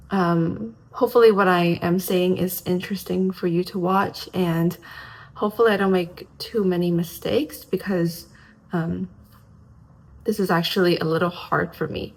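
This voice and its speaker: A young woman from Hong Kong chats to camera in a casual one-take vlog. Her voice is raspy today and sits fairly low. She speaks slowly and hesitantly, filling pauses with ums and restarting her sentences. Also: steady tone, low-quality recording. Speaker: vlogger.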